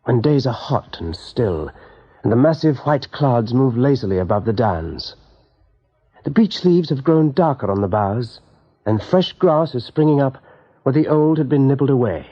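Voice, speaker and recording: A man's voice: mid-range voice, husky. A man narrating an animal saga as a storyteller in a scripted radio play. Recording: dull 1980s radio recording.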